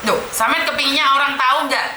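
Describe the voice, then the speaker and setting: A woman hosting a live-streamed call-in chat, animated and encouraging, slipping into Javanese terms of address. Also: high-pitched, quick pace, reverberant, background noise. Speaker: host of a livestream.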